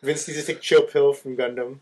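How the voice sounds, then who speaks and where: A young man co-hosting a podcast, chatting casually in an excitable, playful way. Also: medium pitch, a little fast. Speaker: podcast co-host. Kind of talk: casual chat.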